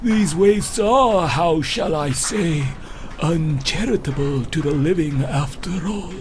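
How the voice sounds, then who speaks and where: A man's voice: a little high, slow, darkish tone, a little hiss or hum. A man playing a grandiose, morbid wasteland courier in a video game, speaking in florid, archaic, ominous phrases. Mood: grandly ominous. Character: grandiose morbid wasteland courier who believes he is death's ferryman. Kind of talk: dramatic video game monologue.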